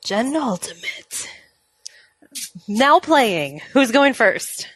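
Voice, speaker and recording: Giggly woman host w/ a stuffy nose, bouncy pitch, dry sound. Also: sing-song, extremely slow, a bit bright, husky, dry recording, poor audio. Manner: giggly, laughs often. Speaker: podcast host. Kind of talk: casual chat.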